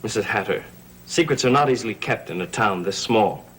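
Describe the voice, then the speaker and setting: An actor playing a suave, insistent gentleman in a gothic soap opera, mock-polite and pressing. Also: fairly low pitch, animated, fairly quick, slight background noise, poor audio. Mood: smooth, pressing. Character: suave, insistent gentleman probing with veiled threats. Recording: old TV soundtrack with music behind.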